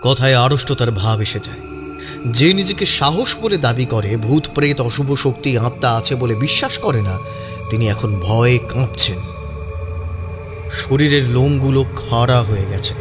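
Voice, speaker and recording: Narrator: man, medium pitch, scripted audio drama storytelling with clear music bed. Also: measured pace.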